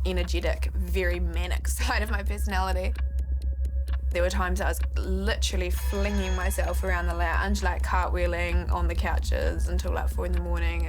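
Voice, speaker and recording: A young woman in her twenties with a New Zealand accent, telling her own story in a candid, conversational, reflective way. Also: on the low side. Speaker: documentary subject, poet and musician. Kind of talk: reflective personal interview narration. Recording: loud music under the voice. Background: loud music bed.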